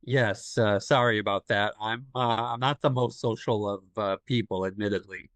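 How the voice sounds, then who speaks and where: An actor playing a shy, awkward writer in an audio play, speaking in a halting, apologetic way. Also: male voice, on the low side, steady tone, fairly bright tone, no echo, natural voice. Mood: shy, hesitant. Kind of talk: naturalistic audio drama dialogue.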